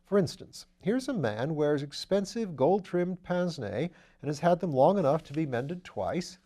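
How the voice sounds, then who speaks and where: A man voicing a breezy aristocratic gentleman detective in an audiobook reading, chatty and full of upper-class slang. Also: fairly high pitch, lively intonation, normal speed, pitched above the performer's own voice. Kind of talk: audiobook character voice.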